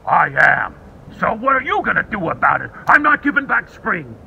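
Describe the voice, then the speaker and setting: A man voicing a greedy, sulking dragon that shouts petulantly. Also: sits high, very animated, moderate pace, creaky, lo-fi sound, a little hiss or hum, pitched above the performer's own voice, full character voice. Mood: defiant.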